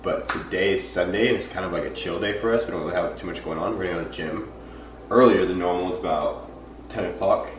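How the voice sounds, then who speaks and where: A man's voice: deep voice, quick pace, a little hiss or hum. A young man in his twenties talking casually to a handheld camera in a laid-back, rambling way, at home and in his car. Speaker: fitness vlogger. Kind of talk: casual vlog narration. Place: apartment kitchen and parked car. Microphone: handheld camera held close.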